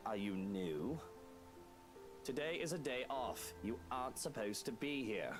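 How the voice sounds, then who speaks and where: A man's voice: medium pitch, lively intonation, measured pace, music in the background, lightly acted. A man voicing a brooding, guilt-ridden young lord in a visual novel game, cold and curt. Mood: cold irritation.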